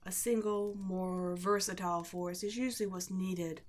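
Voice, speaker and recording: A woman playing a seductive, condescending demon queen in a scripted ASMR roleplay, gloating at the listener and dropping at times into breathy whispers. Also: on the low side, steady tone, lightly acted. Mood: mocking pity. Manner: slow, taunting, condescending, drops into breathy whispers.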